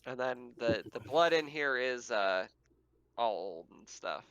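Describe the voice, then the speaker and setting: A man narrating a tabletop roleplaying game as game master, describing scenes in a casual, halting way. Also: medium pitch, expressive, fairly bright tone, highs cut off, a little hiss or hum.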